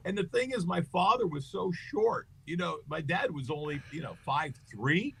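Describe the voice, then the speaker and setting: A middle-aged man with a husky, slightly raspy voice pitched a bit above most men's. He is a comedian guest who spins loose, joking anecdotes with plenty of "uh" and "you know", and his pitch wanders up and down as he talks. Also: lively intonation, moderate pace. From United States. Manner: joking, rambling anecdotes with many fillers. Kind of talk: casual interview storytelling.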